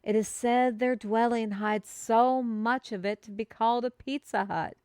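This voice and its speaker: A woman acts out an earnest female herald in a fantasy audio drama, proclaiming in grand, old-fashioned phrases. The voice sits on the high side and moves slowly, with an excitable streak. The sound is close and dry. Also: clear, resonant tone, no echo, natural voice. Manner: theatrical, proclaiming, old-fashioned formal wording. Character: excitable, earnest female kingdom messenger bearing a food prophecy. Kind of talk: fantasy audio roleplay, speaking to one listener.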